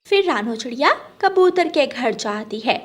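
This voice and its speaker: A woman tells a scripted children's story. Her voice is high-pitched, and she works as the narrator of a cartoon tale. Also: animated, measured pace.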